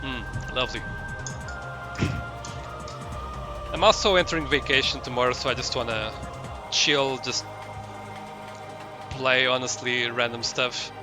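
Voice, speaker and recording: A man's voice: medium pitch, slow, pauses often, over music. A man doing casual live commentary as a game streamer, rambling and hesitant as he searches for his words.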